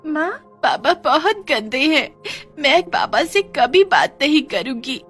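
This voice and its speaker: A woman's voice: sits high, expressive, a little slow, a bit bright, faint music behind, pitched above the performer's own voice. A woman voicing an innocent, tender five-year-old girl with a childlike, pleading manner in a cartoon story. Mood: upset, worried.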